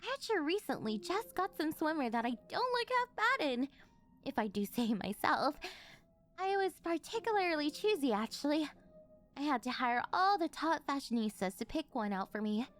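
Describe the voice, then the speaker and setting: A rich, obsessive older girlfriend in an anime-style ASMR roleplay, acted by a woman. Her voice is very high and swings animatedly as she coos and gushes at her beloved. She is clingy and over-eager, with faint music behind her. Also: very animated, moderate pace. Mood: hopeful, coaxing. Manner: gushing, cooing, over-eager, flustered denials. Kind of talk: ASMR roleplay acting addressed to the listener.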